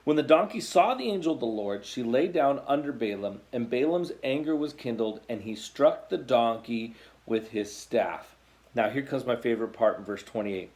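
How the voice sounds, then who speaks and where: An American man, a youth pastor, tells a Bible story to junior high students in a conversational way, reading scripture and breaking off to comment. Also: expressive, normal speed, darkish tone.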